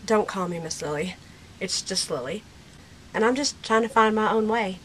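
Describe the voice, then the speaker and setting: A woman's voice: medium pitch, normal speed, a bit bright, a little hiss or hum, natural voice, poor audio. A woman playing a stubborn, outspoken sixteen-year-old girl with folksy Western phrasing in an amateur audio drama. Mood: defiant, matter-of-fact.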